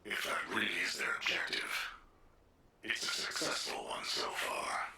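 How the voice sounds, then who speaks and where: A calm military commander in a video game. The voice is very sharp and bright, echoing as if transmitted from a distance, and it is measured and decisive. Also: male voice. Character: calm, authoritative male military commander, open-minded leader. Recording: echoey, distant, noisy, sharp-edged.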